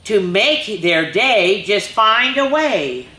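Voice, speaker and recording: A woman's voice: medium pitch, very animated, takes it slowly, bright, forceful tone, echoey room, slight background noise. A woman reading a rhyming picture book aloud to children, with chatty asides between pages. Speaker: children's storyteller.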